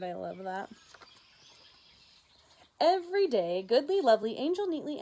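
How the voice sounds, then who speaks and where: A woman teacher reading a picture book aloud to children, narrating expressively with conversational asides. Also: mid-range voice. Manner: animated narration with conversational asides to young listeners.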